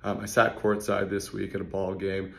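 Hoarse man with a gravelly, worn voice. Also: low voice, monotone. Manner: fast, conversational, salesy asides. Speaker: real estate investor and channel host. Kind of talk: casual talking-head explainer. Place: indoor office hallway.

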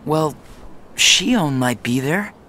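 A young man voicing an earnest, troubled teenage boy in a video game, speaking softly and haltingly. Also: a little high, lively intonation, a little hiss or hum, heavily acted. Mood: subdued, wistful. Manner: hesitant, soft-spoken, halting. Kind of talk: dramatic video game voice acting. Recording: music under the voice.

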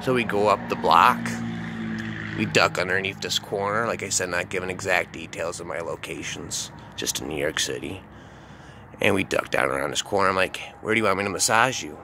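A man telling a dark first-person story in a casual, rambling way, often adding asides and calling the listener "man". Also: deep voice, moderate pace, creaky, noisy background.

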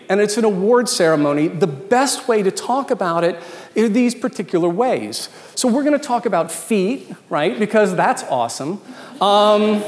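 High-pitched American man speaking in echoey hall. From Washington, D.C., United States. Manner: animated, joking, conversational, with emphatic asides. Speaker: keynote speaker, consultant. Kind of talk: informal after-dinner keynote talk. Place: large event hall with dinner tables, indoors. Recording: echoey hall with long reverb.

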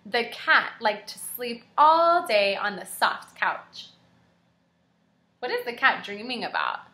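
A young woman teaching kindergarten, reading aloud and prompting children with animated, encouraging questions. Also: fairly high pitch, slow. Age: young adult. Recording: echoey indoor room.

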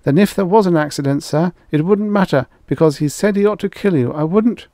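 A man's voice: high-pitched, brisk, dark tone, no room sound, clean studio sound, voice pushed up. A man voicing a frightened, servile hunting guide who stammers and pleads as he reads a science fiction story. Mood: desperate, tattling. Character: terrified, pleading, cowardly male hunting guide.

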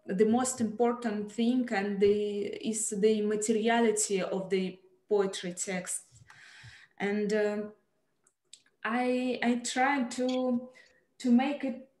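A young woman with a Russian accent, speaking as a panelist on a video call, halting and searching for words with many fillers. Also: on the high side, a little mellow, echoey room. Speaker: panelist poet. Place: home room, indoors. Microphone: video call, headset.

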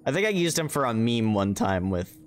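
A man's voice: medium pitch, sing-song, a little fast. A man chatting casually on a gaming livestream, swearing freely and poking fun at himself. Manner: casual, profane, self-deprecating. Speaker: game streamer. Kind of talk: casual livestream gameplay chat. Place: indoor room, streaming setup. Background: game music.

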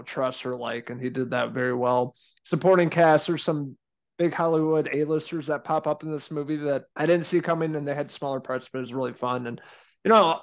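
A man in his thirties co-hosting a casual movie review over a video call from home, relaxed and chatty. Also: medium pitch, dull audio. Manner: relaxed, chatty, laughs easily. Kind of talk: casual film review chat. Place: home room indoors. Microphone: video call webcam.

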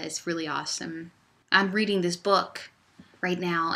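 A young woman chatting casually and cheerfully in a vlog, with a fairly low voice, recorded on a laptop webcam in a small dorm room. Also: pushed and bright. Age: young adult. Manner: upbeat, chatty, loose rambling. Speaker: vlogger. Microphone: laptop webcam at desk distance.

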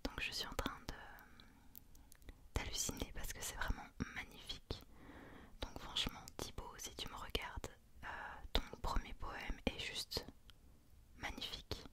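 Slow, whispering woman in ASMR style. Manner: gentle, hushed, unhurried delivery. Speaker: ASMR host. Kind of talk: whispered ASMR, chat and poetry reading.

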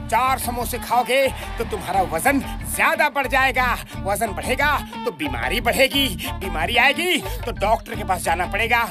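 A man voicing an excitable, gullible, bossy chubby cartoon man who preaches emphatically and repeats himself. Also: very high-pitched, normal speed, hardly any pauses, music in the background. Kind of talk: Hindi cartoon dubbing.

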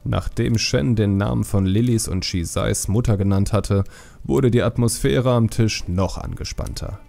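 Male audiobook narrator for fantasy story, lowish gravelly voice, light music behind. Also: unhurried, warm tone.

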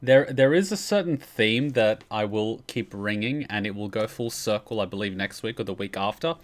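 A man's voice: fairly low pitch, normal speed. Explanatory man on a livestream. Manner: explanatory, freely swearing. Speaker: streamer and lore commentator. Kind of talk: casual livestream lore discussion. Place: indoor room at a streaming setup.

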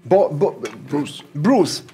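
A man voicing a frail, nosy, confused gentleman of seventy-eight in an improvised audio drama, doddering yet insistent. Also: fairly high pitch, expressive, drawn-out words, soft background music. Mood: suspicious, feeble.

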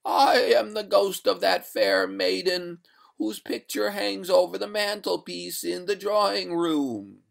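A man's voice: sits high, slow pace, gravelly, voice pushed up, heavily acted. A man voicing an indignant, doomed ghost maiden in a storybook, with a gurgling voice and haughty, old-fashioned formal speech. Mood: mournful, doomed. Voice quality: gurgling, watery. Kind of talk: storybook character voice.

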